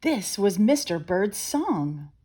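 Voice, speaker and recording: A middle-aged woman reading a children's picture book aloud as storyteller, expressive and deliberate. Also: medium pitch. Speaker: storyteller and channel host.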